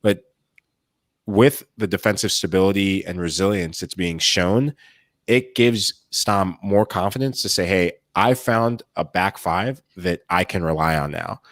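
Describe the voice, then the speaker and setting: Man, very low voice, dry close sound, casual soccer analysis, long flowing runs. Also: a little slow, no echo. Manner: animated, talks in long flowing runs with acted-out quotes. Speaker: soccer podcast co-host.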